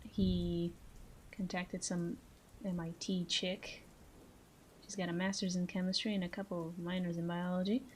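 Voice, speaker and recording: A woman playing a flirty, menacing female mob boss in a roleplay audio drama, teasing and deliberate, with breathy asides. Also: on the low side, level tone, slight background noise, natural voice. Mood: smooth, businesslike.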